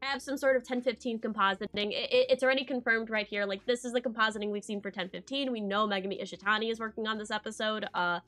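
A woman's voice: a little high, steady tone, quick pace, hardly any pauses, bright, forceful tone. A young woman talking casually on a live stream, analytical and full of filler sounds as she weighs an anime episode. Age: young adult. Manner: analytical, lots of uh fillers and trailing off. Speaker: co-host reacting to an anime episode. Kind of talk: casual chat. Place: home streaming setup, indoors.

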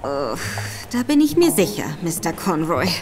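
A woman's voice: low voice, very animated, moderate pace, music in the background. An actress playing a sly, polite young woman with a coy manner in an audio drama. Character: coy, sly young woman feigning innocent servant-girl respectability.

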